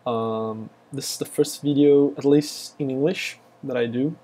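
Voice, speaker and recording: Brazilian-accented male developer, non-native English, screencast tutorial; slow, halting delivery, mellow tone. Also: mid-range voice, steady tone. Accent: Brazilian Portuguese. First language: Portuguese. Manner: halting, many fillers and restarts. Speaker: web developer.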